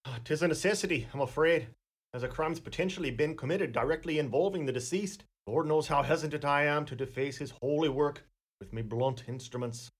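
An actor playing a courtly, pompous small-town Irish coroner in a radio play, speaking in an ornate, deliberate manner. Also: male voice, a little high, close to an everyday voice. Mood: rueful, courtly.

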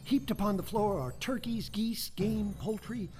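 A man's voice: sits high, unhurried. A man narrating stage directions in a storytelling manner for a radio play. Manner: storytelling, descriptive. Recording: radio play with music underneath.

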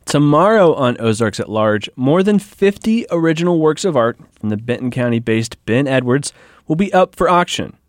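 A man co-hosts a public radio magazine show. His voice sits at a middle pitch, and the studio recording is close and dry, with hardly any room around it. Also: expressive, normal speed, dry recording. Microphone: close studio mic.

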